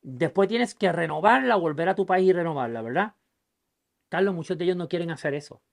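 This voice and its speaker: A man's voice: sits high, animated, brisk, gravelly. A man hosting a podcast, talking casually about politics with a guest, speaking emphatically and acting out quoted dialogue in his stories. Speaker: podcast host.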